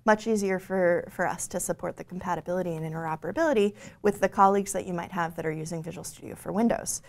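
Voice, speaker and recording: A woman in her forties explaining software features on a studio stage, fluent but full of "you know" fillers and small restarts. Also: fairly low pitch, quick pace. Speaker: product lead presenting the software. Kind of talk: scripted-feeling tech keynote conversation. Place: bright studio stage with screen behind.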